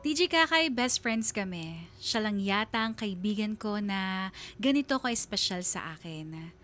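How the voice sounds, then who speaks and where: A woman's voice: medium pitch, animated, measured pace, fairly bright tone, faint music behind. A woman reading a scripted first-person radio drama narration in a confiding, sentimental manner.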